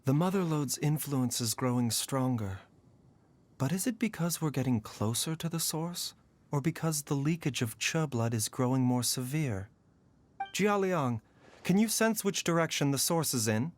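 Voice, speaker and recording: An actor playing a composed, shrewd healer in a video game, measured and articulate, gently commanding. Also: male voice, on the high side, animated, a little hiss or hum, natural voice. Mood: grave, pondering. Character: composed, shrewd adult male healer, quietly watchful.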